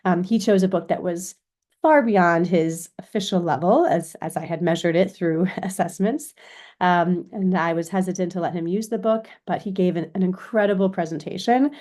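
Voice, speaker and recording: Female American lecturer, lowish pitch, informal explanatory delivery with frequent check-ins. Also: normal speed, darkish tone. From Chicago, United States. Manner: explanatory, often checks with "right?". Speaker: university lecturer in education.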